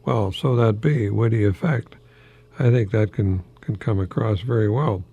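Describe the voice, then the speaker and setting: An older American man hosting a folksy solo radio talk in a halting, rambling, easily amused manner. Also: on the low side, creaky, mellow, dull audio. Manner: chuckles often at his own remarks, halting and rambling. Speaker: radio host, longtime English professor.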